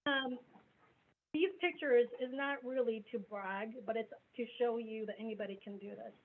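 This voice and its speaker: A woman's voice: on the high side, moderate pace. A woman in her forties from the American Midwest, giving a candid, conversational self-introduction as a business coach on a video call. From grew up in the US Midwest. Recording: tinny phone-like video call line with faint noise.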